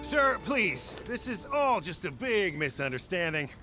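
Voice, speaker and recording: A man's voice: high voice, sing-song, a little slow, music in the background. A man voicing a cowardly bandit in a video game, flustered and fawning as he pleads. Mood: pleading. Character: cowardly, wheedling bandit pleading his innocence.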